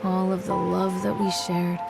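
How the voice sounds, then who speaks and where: A woman's voice: fairly low pitch, level tone, unhurried, gravelly, music in the background, low-quality recording. A woman playing an anguished, guilt-ridden mother in a dramatic story game. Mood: wistful, brooding. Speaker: protagonist and narrator of a story game. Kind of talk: dramatic game monologue and acting.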